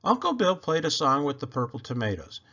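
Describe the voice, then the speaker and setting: A man reading a children's book aloud with expressive, emphatic delivery. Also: fairly high pitch, a little fast, dull audio. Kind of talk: animated storybook read-aloud narration.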